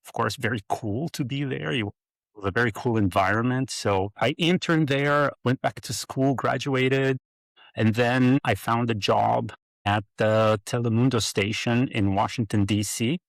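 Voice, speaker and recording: A middle-aged man from Brazil, telling his career story as a podcast guest, halting with many fillers and restarts. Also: mid-range voice, fairly even intonation, creaky, no echo, poor audio. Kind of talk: interview storytelling.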